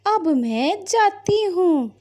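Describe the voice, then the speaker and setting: High, slow grandmother bird played by a woman. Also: big pitch swings, warm tone, full character voice. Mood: concerned. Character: concerned older grandmother bird, caring. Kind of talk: Hindi moral-story cartoon dubbing.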